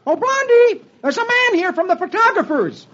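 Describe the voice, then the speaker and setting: A man voicing a frantic, exasperated young husband and father in an old radio comic-strip reading, yelping and pleading excitably. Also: very high-pitched, moderate pace, voice pushed up, heavily acted. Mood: frantic shouting. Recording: dull old radio recording, music behind.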